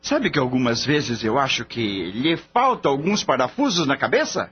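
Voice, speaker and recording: Actor as scornful boss, animated, dull audio. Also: male voice, medium pitch, moderate pace, lightly acted. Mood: mocking, amused. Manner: blunt, mocking, lecturing. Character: skeptical, scornful male veterinarian boss. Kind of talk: radio drama acting. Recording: dull lo-fi audio, faint hum.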